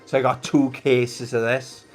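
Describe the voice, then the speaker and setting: A man's voice: medium pitch, level tone, a little slow, faint music behind. A man with a Welsh accent hosting a casual gaming live stream, chatting in a rambling, jokey way to his viewers. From Wales.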